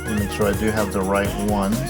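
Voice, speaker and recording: Male DIY host, lowish voice, informal hands-on narration, thinking aloud while working, music behind him. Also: completely flat delivery, quick pace. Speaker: host of a car modification tutorial.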